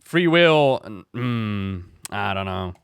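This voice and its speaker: A man giving casual reaction commentary as a game lore streamer, musing out loud in a conversational way. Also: lowish voice, expressive, very slow, gravelly.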